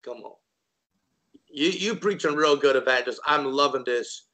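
A man's voice: mid-range voice, moderate pace, bright, forceful tone. A man in his thirties hosting an interview, encouraging his guest with affirming interjections. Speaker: host interviewer.

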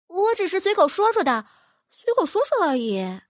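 A lively young heroine in a fantasy storybook, her voice jumping high and fast when she protests, close and dry, as a woman reader puts her on. Bright and animated throughout. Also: dry recording. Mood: protesting. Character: spirited young girl, quick and flustered. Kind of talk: audiobook character voice.

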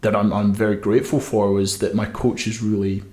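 A man's voice: fairly low pitch, flat delivery, normal speed, a little mellow, echoey room. A young man with a Scottish accent from the west coast of Scotland, telling his story as a podcast guest, with frequent restarts. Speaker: guest swimmer. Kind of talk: podcast interview. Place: small room with podcast setup. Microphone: desk mic on stand.

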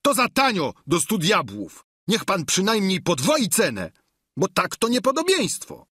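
A man voicing a wily, grumbling peasant squire in a storybook reading, exclaiming, whining and haggling loudly. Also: high voice, sing-song, measured pace, slightly rough, no echo, studio quality, voice pushed up, full character voice. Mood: haggling, complaining.

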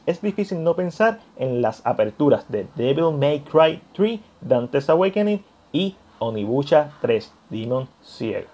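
A man presenting a scripted video essay as channel host, addressing viewers in an expressive voice-over. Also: medium pitch, lo-fi sound.

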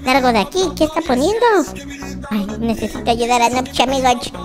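A performer voicing an excitable, boastful but kind baby boy game character with a squeaky childlike voice, exclaiming and repeating words as gameplay roleplay commentary. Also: brisk, close to an everyday voice. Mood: worried. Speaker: gaming channel host. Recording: music behind the voice. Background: children's music.

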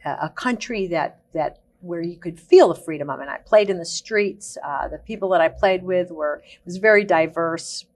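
Low-voiced woman around sixty, reflective. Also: moderate pace, hardly any pauses, a little mellow. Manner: reflective, talks in long searching sentences with many ums. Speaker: guest, activist. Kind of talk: life-story interview. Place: TV studio set.

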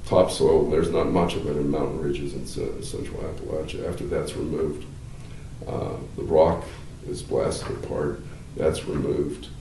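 An older man, a law professor, explaining at length in a measured, halting way with many fillers, seated in a wood-paneled room. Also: a bass voice, level tone, mellow. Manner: gestures with hands while talking. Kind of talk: explanatory interview answers. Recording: roomy, slightly distant, faint hiss.

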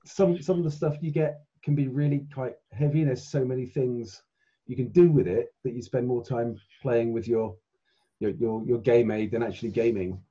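A man's voice: mid-range voice, fairly even intonation, normal speed, dark tone, breathy edge. A man chatting casually as a player in a tabletop role-playing game over a video call. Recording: dull, muffled online call audio.

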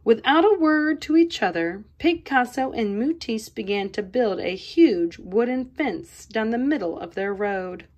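A woman reads a children's picture book aloud. Her fairly high voice swoops and rises with every line, and she takes her time with the words. The reading is expressive and playful, in the manner of an art teacher sharing a story with a class. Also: measured pace, hardly any pauses, lo-fi sound.

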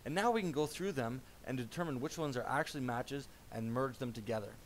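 A man narrating a software product demo as a voice-over, in a measured explanatory tone. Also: medium pitch, a little hiss or hum, low-quality recording.

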